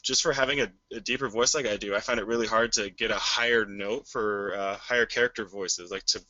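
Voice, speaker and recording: A man with a naturally deep voice, asking a voice coach questions on a webinar in an eager, rambling way. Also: fairly even intonation, fairly quick, sharp tone, no echo, highs cut off. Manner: eager, rambling, hesitant fillers. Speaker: webinar caller, aspiring voice actor.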